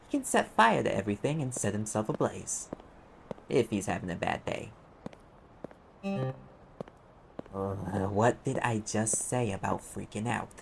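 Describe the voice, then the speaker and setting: Creaky-voiced sarcastic demon detective, played by a man. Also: fairly low pitch, noisy background. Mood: matter-of-fact explaining, then calming, firm. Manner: sardonic, offhand, casual. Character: sarcastic male demon private investigator, brash and streetwise. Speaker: voice actor in audio roleplay. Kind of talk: conversational audio roleplay acting.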